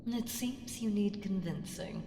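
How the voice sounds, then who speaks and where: A woman playing an ancient evil entity in an ASMR audio roleplay, coaxing and condescending, commanding and seductive, with deliberate delivery that slips into whispers. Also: mid-range voice, expressive, dark tone. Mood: menacing, mocking. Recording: roomy long reverb with clear background noise.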